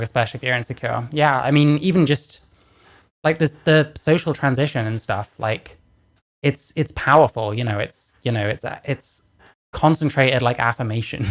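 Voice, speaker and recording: A woman hosting a reaction video, chatting casually between clips and often trailing off into quiet asides. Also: extremely low pitch. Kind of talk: casual commentary over clips.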